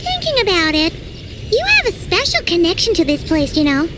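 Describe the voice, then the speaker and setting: A squeaky, bouncy fairy sidekick, very high and lively, game music under her. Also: a little fast, noisy background. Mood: chatty. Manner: chirpy, refers to herself in third person. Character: tiny floating female companion, bubbly and excitable. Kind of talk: game voice acting.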